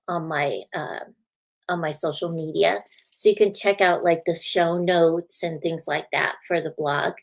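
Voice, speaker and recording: Woman, slightly scratchy voice, lowish pitch, slow unhurried pace, chatty live host. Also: vocal fry. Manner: chatty, laughs often, greets viewers by name. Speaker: host of a spiritual show. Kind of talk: casual live-stream chat.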